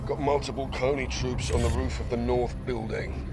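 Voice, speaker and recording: An actor voicing a gruff, determined male special forces captain in a video game cutscene. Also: mid-range voice, fairly even intonation, normal speed, loud music behind the voice, close to an everyday voice. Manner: gruff and clipped.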